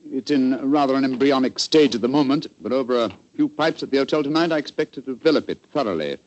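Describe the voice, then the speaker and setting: Actor as English gentleman detective, keen, authoritative, lively intonation, muffled 1940s radio. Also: mid-range voice, quick pace, close to an everyday voice. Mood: assured.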